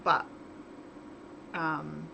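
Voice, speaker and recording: A woman's voice: low voice, little pitch movement, drawn-out words, sharp tone, highs cut off. A woman livestreaming casually as she works, thinking aloud in a hesitant way, trailing off and half talking to herself. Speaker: livestream host and visual novel developer. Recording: clearly noisy livestream with constant background ambience.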